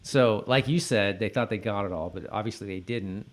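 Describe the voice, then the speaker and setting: A man co-hosting a podcast, chatting casually in a halting, repetitive way as if thinking aloud. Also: on the low side. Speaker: podcast co-host. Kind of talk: casual chat about a TV episode.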